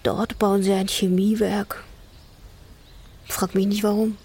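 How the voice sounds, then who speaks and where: An actress playing a disdainful idler in a radio play, speaking in a questioning manner. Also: female voice, medium pitch, little pitch movement, slow pace, a little mellow, breathy edge. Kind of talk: stylised radio play acting.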